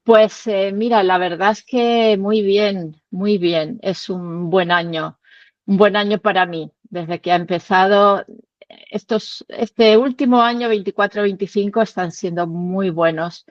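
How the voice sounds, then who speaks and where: A woman's voice: mid-range voice, a little slow, no echo. A middle-aged woman from the Canary Islands, a pastor talking about her life as a guest on an interview show. She chats freely, reflects and laughs often. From Tenerife, Canary Islands. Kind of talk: warm personal interview conversation. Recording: dull lo-fi sound.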